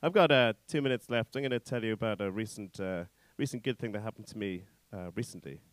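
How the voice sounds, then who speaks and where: A man in his thirties doing informal stand-up into a handheld mic, rambling, halting and sweary. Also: fairly low pitch, no echo. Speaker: stand-up comedian. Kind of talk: stand-up comedy set, informal crowd banter. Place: on stage in a small indoor comedy club. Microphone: handheld stage microphone.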